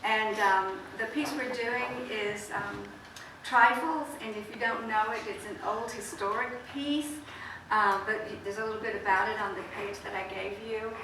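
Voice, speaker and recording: A woman's voice: mid-range voice, fairly even intonation, moderate pace. A woman who teaches acting gives an informal, rambling introduction to an audience at a student show. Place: small theatre, recorded from the audience. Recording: echoey room, distant mic, clear noise.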